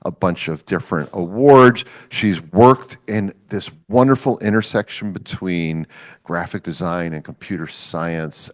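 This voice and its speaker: A man with a fairly low voice hosts a public lecture. He talks casually, with fillers and light jokes for the audience, before introducing the guest speaker. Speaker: event host introducing a lecturer.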